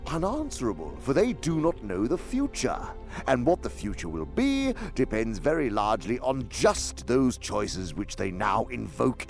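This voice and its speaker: A man's voice: lowish voice, vocal fry, a little mellow, exaggerated voice. A man playing a suave, pompous senior demon mentor in a radio drama, lecturing theatrically and with relish.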